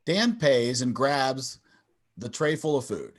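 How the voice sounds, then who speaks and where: A man's voice: mid-range voice, lively intonation, takes it slowly. A man narrating stage directions in a scripted table read over a video call.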